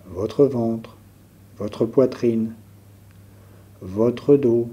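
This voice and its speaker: A man leading a guided yoga nidra relaxation as a teacher, giving calm, measured instructions with pauses. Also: medium pitch.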